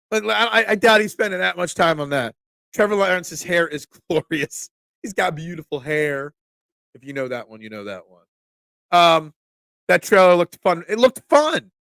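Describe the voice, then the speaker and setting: A fairly high-voiced man hosts a sports talk stream, sounding congested, as if he is catching a cold. He rants with excitable, opinionated energy and trips over his own restarts. The sound is close and dry, with no room echo. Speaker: sports talk show host. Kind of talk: casual live-stream sports talk, reading chat comments.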